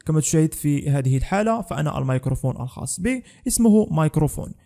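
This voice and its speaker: Young guy doing a tech tutorial voiceover, talks quick, soft mellow tone. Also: on the high side, lively intonation, vocal fry. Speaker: tech tutorial channel host. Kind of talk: scripted tutorial narration.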